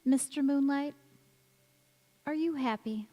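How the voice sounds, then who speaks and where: A woman playing a strange, self-possessed young woman in a radio drama, speaking earnestly and deliberately. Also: high voice, dark tone, muffled recording. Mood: wistful. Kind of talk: stage radio drama acting. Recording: echoey church hall.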